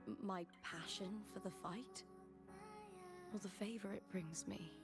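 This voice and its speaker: A woman playing an earnest, proud young female gladiator who is warm but privately afraid, in video game story dialogue. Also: medium pitch, measured pace, lightly acted. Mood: wistful, fearful. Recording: game music behind the voice.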